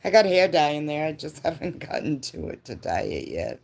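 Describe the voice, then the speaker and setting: A woman's voice: low voice, big pitch swings, brisk, vocal fry, darkish tone. A woman in her fifties chatting casually with live viewers, laughing often and answering comments. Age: 50s. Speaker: livestream host.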